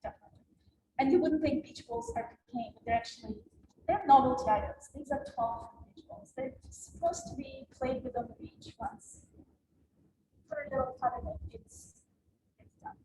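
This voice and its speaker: A woman's voice: sits high, big pitch swings, moderate pace. A woman whose first language is Russian, talking as an exhibiting artist about her installation in a hesitant, reflective way with frequent small laughs. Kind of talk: gallery artist talk. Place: gallery exhibition space. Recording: echoey gallery with faint room noise.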